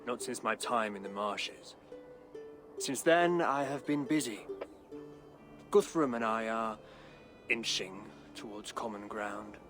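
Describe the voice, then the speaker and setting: Slow-spoken, dignified English king. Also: fairly low pitch. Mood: reflective. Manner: deliberate, formal, regal. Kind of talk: dramatic video game acting. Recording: music under the voice.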